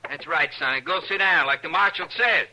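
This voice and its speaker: A man's voice: on the high side, fairly quick, pushed and bright, exaggerated voice, low-quality recording. A man playing a taunting gunfighter villain in a radio western, with an American Western accent and a sneering, insolent manner. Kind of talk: 1950s radio western acting. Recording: old radio recording.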